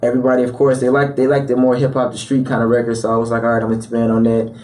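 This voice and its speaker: A man's voice: medium pitch, monotone, rapid-fire, darkish tone, far from the mic. A young man born in Chicago and raised around Atlanta, a rapper talking loosely in a podcast studio interview with lots of filler words. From Atlanta area, born in Chicago. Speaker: rapper guest. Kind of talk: casual interview chat. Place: small podcast studio with foam panels. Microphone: studio mic on boom arm.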